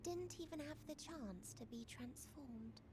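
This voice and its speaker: An actress playing a cold, sorrowful otherworldly female being in a video game, speaking in a solemn, measured, detached way. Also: sits high, expressive, background noise. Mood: cold, grave. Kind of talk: dramatic scripted game voice acting. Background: loud music.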